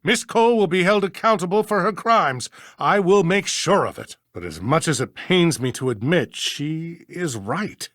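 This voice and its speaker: A stern, self-important judge from a mystery game as an actor performs it. The delivery is pompous and formal with wide swings of pitch, recorded very dry. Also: animated, normal speed, few pauses, fairly bright tone, no echo, natural voice. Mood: solemn, grudging. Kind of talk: video game voice acting.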